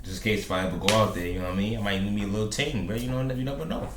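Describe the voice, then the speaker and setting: A man's voice: fairly low pitch, racing, reverberant, background noise. A man hosting a reaction video, talking in laid-back slang with lots of fillers. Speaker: reaction host. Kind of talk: casual reaction commentary.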